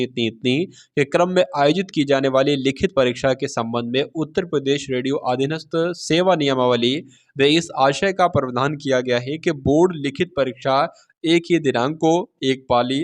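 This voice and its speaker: A man reading out and explaining official notices for students in a didactic way, paraphrasing as he goes and checking in with listeners. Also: mid-range voice. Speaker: exam-prep instructor and channel host.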